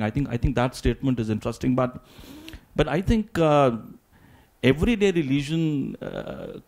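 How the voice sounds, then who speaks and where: Halting man giving a deliberate academic answer. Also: medium pitch, darkish tone. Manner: halting, deliberate, repeats words. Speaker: symposium panelist.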